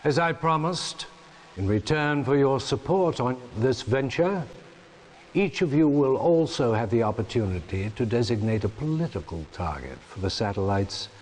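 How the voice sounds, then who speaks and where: A man acting a smug, menacing chairman of a totalitarian regime in a game cutscene, with pompous, measured speechmaking over noisy audio. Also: mid-range voice, lively intonation, darkish tone, close to an everyday voice. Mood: grandly oratorical. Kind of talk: theatrical villain monologue.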